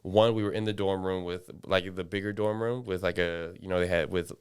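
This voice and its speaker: A young man hosts a relaxed podcast alongside his co-host. His voice is low and smooth, with a clear, resonant tone. He rambles, restarting sentences as he goes. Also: a little fast. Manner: rambling, many restarts and fillers. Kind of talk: casual chat.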